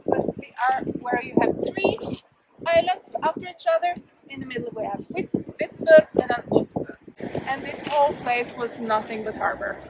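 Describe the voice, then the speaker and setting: A woman from Norway, guiding a walking tour outdoors at a harbor, halting and full of filler sounds. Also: high voice, big pitch swings. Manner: halting, many filler sounds, loose grammar. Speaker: tour guide. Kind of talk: guided walking tour talk. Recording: loud outdoor street noise.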